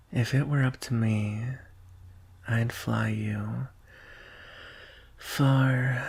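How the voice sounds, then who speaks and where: Devoted dragon guard, played by a man for ASMR roleplay: very husky and breathy, gravelly edge, hushed, solemn and tender. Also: mid-range voice, exaggerated voice. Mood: tender, wistful. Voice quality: breathy, husky, often dropping to a whisper. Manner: hushed, deliberate, with long pauses. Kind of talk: ASMR roleplay, intimate slow delivery.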